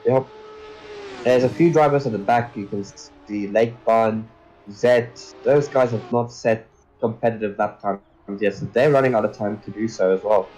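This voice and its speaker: A man co-commentating an online sim race with brisk, to-the-point remarks. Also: fairly low pitch, a little mellow, slight background noise, poor audio.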